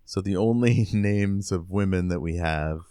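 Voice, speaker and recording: A man co-hosting a podcast, casually recapping and discussing a book in a halting way. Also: low voice, mellow, clean tone, no echo, clean studio sound. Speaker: podcast co-host.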